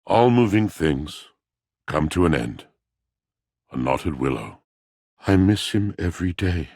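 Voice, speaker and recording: A man voicing a brooding, lovelorn, melodramatic video game character, speaking in a grandiose, poetic, mournful way with a gravelly voice. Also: low voice, sing-song, a little slow, darkish tone, no room sound, studio quality, heavily acted. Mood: resigned, softening.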